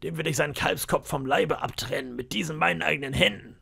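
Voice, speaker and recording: Sly old pirate cook, raspy voice, pitched up a bit, storybook reading. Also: male voice, fast, bright, forceful tone, full character voice. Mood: scheming. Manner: wheedling and boastful, swings to menace. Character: cunning one-legged old pirate cook, male. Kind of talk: audiobook character voice.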